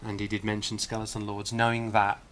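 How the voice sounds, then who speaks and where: A man's voice: fairly low pitch, completely flat delivery, fairly quick, fairly bright tone, slight background noise. A man explaining things casually as a developer guest on a live stream.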